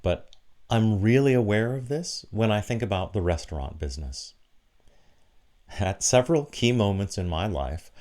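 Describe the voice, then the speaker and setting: A man delivering a prepared, reflective sermon with measured, deliberate phrasing and short pauses for emphasis. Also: fairly low pitch, mellow. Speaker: preacher giving a sermon for an online church service.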